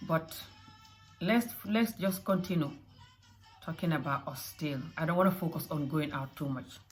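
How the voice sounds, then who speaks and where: A woman's voice: low voice, moderate pace, soft background music. A mother with a mixed African and Scottish accent, speaking in an earnest motivational talk to camera and often repeating phrases. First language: not English. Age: adult mother. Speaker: vlogger.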